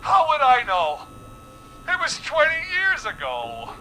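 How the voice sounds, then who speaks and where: An actor playing a trapped, defensive man in a horror game, halting and evasive as he justifies himself. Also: very high-pitched, huge pitch swings, background noise, heavily acted. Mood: agitated, frightened. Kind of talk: scripted game voice acting.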